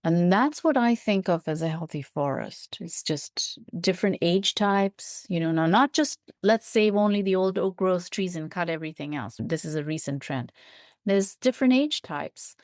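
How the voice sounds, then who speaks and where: A woman's voice: fairly low pitch, expressive, normal speed, a little mellow, no room sound, studio quality. A woman speaking as an interview guest, explaining things in a thoughtful, descriptive and earnest conversational way, repeating points for emphasis. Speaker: interview guest, biologist and writer.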